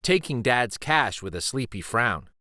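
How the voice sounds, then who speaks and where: A man narrates a picture book for children in a bright, forward voice. His delivery is deliberate and expressive, taking its time over each phrase, and the sound is dry and close. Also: mid-range voice, dry recording. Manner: deliberate, animated storytelling delivery. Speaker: children's read-aloud storyteller.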